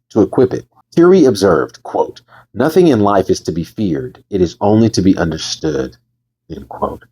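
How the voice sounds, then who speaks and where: Man, low-pitched, very animated intonation, book narration, casual and chatty delivery. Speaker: host reading a book aloud. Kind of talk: casual audiobook-style reading with informal asides. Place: bedroom. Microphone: new microphone.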